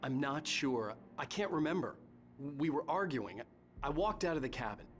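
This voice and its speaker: An actor playing a troubled, anxious writer in a video game, speaking in a terse, grave way. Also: male voice, on the high side, expressive, moderate pace, fairly bright tone, a little hiss or hum. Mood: tense. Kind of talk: video game dialogue and scripted narration.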